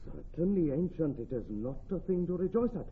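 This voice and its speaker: Pedantic officer, emphatic, very lively pitch, stage-trained delivery, muffled hissy radio recording. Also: male voice, fairly quick. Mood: stern. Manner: fussy, emphatic explaining. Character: pedantic, earnest, excitable Welsh army captain. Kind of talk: theatrical Shakespearean radio acting. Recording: dull, noisy old radio recording.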